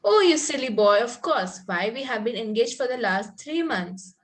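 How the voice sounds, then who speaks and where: A woman's voice: a little high, sing-song, normal speed. A woman reading the part of a fanciful, coy Victorian young lady in an amateur table read, prim and earnest, with occasional stumbles. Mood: dreamy, matter-of-fact.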